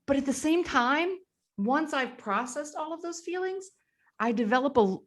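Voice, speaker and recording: A woman's voice: high voice, normal speed. A woman in her forties hosting a candid, casual conversation, talking openly with plenty of fillers. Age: 40s. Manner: candid, animated, with frequent fillers. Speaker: podcast host.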